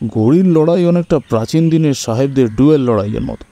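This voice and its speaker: Radio drama: earnest, chatty male hobbyist, proud of his kites, very soft dark tone man acting. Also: mid-range voice, animated, fast talker, no echo, natural voice. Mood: recounting. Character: Fatikbabu, keen kite-flying man, new tenant, earnest and proud. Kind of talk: radio audio-story acting, conversational storytelling.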